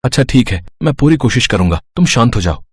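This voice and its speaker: A man playing a harried young husband in an animated moral story, earnest and placating. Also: medium pitch, quick pace, breathy edge, no echo. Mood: placating, pleading.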